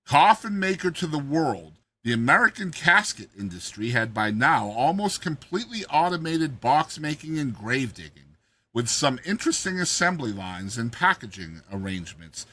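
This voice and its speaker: A man narrating a short story in a theatrical, expressive, dramatized reading style. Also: mid-range voice, moderate pace. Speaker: narrator, host of a reading series.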